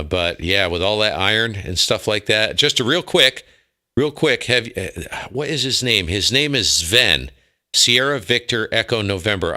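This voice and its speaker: A man's voice: lowish voice, bright, forceful tone. An American man chatting casually on ham radio, enthusiastic and full of slang. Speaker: ham radio operator and streamer.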